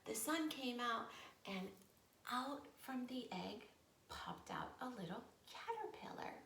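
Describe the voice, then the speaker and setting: A woman teaching yoga storytime to young children, encouraging and playful, with expressive storytelling and hushed, breathy moments. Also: on the high side, slow pace. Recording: roomy echo with faint noise.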